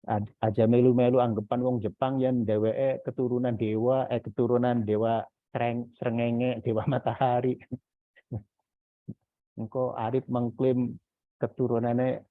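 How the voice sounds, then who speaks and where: A man's voice: mid-range voice, level tone, measured pace. A man giving informal, didactic feedback as a university lecturer in an online class. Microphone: video call. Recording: echoey, phone-like video-call audio.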